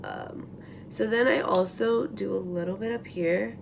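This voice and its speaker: A young woman with a raspy morning voice chatting casually through a makeup tutorial at home, full of likes and ums and trailing off into whispers. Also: mid-range voice, unhurried, background noise. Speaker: beauty vlogger. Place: at home, getting ready.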